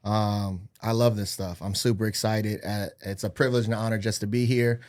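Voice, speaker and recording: A man's voice: fairly low pitch, flat delivery, fairly quick, a little mellow. A man in his thirties from Hawaii, a podcast guest telling his life story in an upbeat, joking, self-deprecating way. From Hawaii-born, raised in California and Arizona. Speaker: podcast guest, real estate agent. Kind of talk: casual chat.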